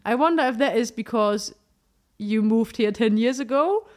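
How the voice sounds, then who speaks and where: A woman's voice: fairly high pitch, moderate pace. German woman chatting on a podcast. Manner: playful, laughs often, quizzes her partner. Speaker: podcast co-host. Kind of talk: casual chat.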